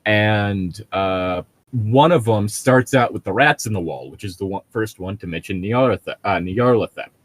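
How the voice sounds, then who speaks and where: A man's voice: lowish voice, moderate pace, hardly any pauses, no room sound. A man in casual conversation as a co-host, telling stories in a sardonic, exasperated way. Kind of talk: casual chat.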